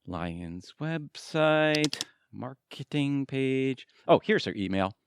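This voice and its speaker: An actor playing a good-humored middle-aged husband in an audio comedy, playful and easily flustered. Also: male voice, medium pitch, animated, slow, noisy background. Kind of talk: audio theatre comedy acting.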